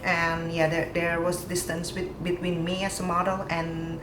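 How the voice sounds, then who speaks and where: A woman's voice: lowish voice, monotone. Indonesian-accented woman in an echoey room. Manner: chatty, upbeat, halting restarts. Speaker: interview guest, fashion model. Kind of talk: casual interview chat. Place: apartment with city view. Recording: echoey room with background noise.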